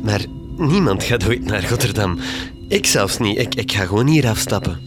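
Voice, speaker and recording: A man's voice: lowish voice, animated, moderate pace, over music, lightly acted. A man playing an evasive, offhand train conductor in a comic radio play.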